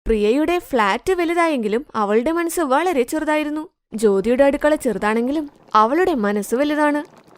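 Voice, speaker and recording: A woman's voice: sits high, expressive, normal speed, no room sound, clean studio sound. A woman storyteller reading scripted narration for a moral story.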